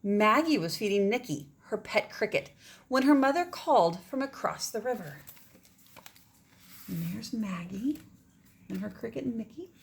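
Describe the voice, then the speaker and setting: A woman's voice: medium pitch, normal speed, gravelly, pauses often. A woman reading a children's picture book aloud, expressively, with casual asides about the pictures. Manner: expressive, pointing out pictures to listeners.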